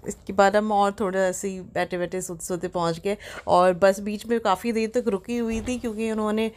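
A young woman narrating her travel vlog in a casual, chatty way, often excited and mixing in English phrases. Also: medium pitch, moderate pace. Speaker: travel vlogger.

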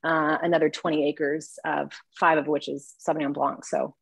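A woman's voice: sits low, little pitch movement, normal speed, vocal fry, breathy edge, no echo. A woman from California who owns a winery and makes the wine, talking in an upbeat, chatty way on a video call. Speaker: winery owner and winemaker. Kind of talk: video call panel talk.

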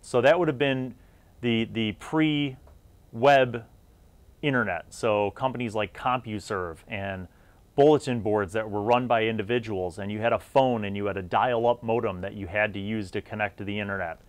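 A man's voice: on the low side, normal speed, no room sound. Middle-aged man hosting a retrospective review. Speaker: video series host. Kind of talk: retrospective review talk to viewers.

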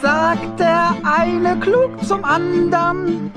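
A woman's voice: very high-pitched, fairly even intonation, over loud music, heavily acted. A woman playing a little male hedgehog in a children's radio play, speaking plaintively and theatrically. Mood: singing bravely. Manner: slow, plaintive, theatrical speech with sighs and exclamations. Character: little male hedgehog, sad and aggrieved, setting off alone.

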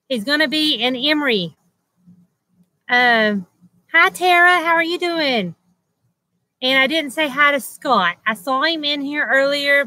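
A woman in her forties chats through a livestream from a webcam outdoors, reading out comments with lots of ums and easy laughs. She talks slowly in a bright, fairly high voice. The sound is dry and close. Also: no echo, poor audio. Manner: chatty, laughs often, many ums and restarts. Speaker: livestream host. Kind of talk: casual live chat reading comments. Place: outdoors by a house wall.

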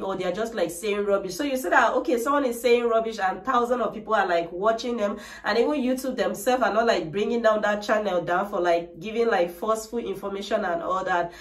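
A woman in her thirties chatting casually to camera as a vlogger, full of "like" and "trust me", filmed indoors without a mic. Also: mid-range voice. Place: bright decorated room indoors. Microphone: camera mic, no microphone. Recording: echoey room, voice a little distant.

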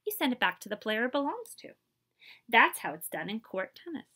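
A woman's voice: on the high side, big pitch swings, a little fast, sharp tone, heavily acted. Woman as a prim, instructive society lady. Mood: prim correcting. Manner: proper, instructive.

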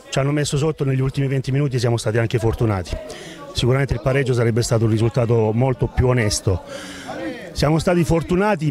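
A middle-aged man with an average-pitched voice talks as a team coach. He gives a post-match interview outdoors, speaking into a reporter's handheld microphone. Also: a little mellow. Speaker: football coach. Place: outdoors beside a sports ground.

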